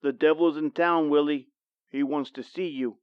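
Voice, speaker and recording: An actor playing a wry, world-weary painter with a morbid wit, in a theatrical old-time radio horror style. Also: male voice, on the high side, fairly even intonation, moderate pace, clean tone, no echo, highs cut off, lightly acted. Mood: darkly teasing.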